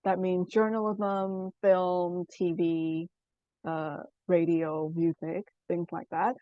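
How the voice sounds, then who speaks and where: A young woman from Burma, a native Burmese speaker, giving a student presentation in a measured, explanatory way with frequent pauses. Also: lowish voice, level tone, dry recording, lo-fi sound. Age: young adult. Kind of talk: class presentation.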